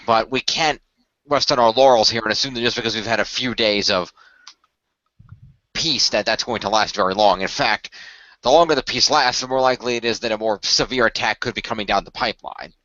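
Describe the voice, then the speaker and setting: A man playing a measured, formal leader of a hero team in an amateur radio play, wordy and hesitant. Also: fairly low pitch, sharp tone, dry recording, dull audio. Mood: grave, measured. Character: measured, formal male team leader briefing young demon-fighting heroes.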